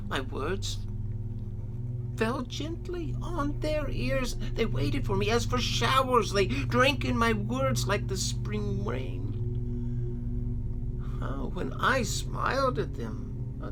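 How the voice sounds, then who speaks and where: A performer voicing an anguished fallen patriarch in a dramatic scripture monologue, halting and theatrical, moving between breathy whispers and cries. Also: medium pitch, low-quality recording. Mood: wistful, nostalgic. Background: music.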